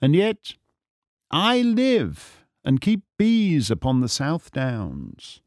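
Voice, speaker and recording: A man voicing a suave, self-assured gentleman detective in an audiobook, urbane, measured, wry and formal. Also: on the high side, huge pitch swings, no echo, clean studio sound, pitched above the performer's own voice, full character voice. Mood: wry, amused. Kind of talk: audiobook character acting.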